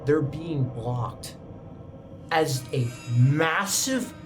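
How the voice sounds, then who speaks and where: A man running a tabletop roleplaying game as game master, setting scenes in a dramatic, halting way. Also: fairly high pitch, animated. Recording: background music behind the voice. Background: music.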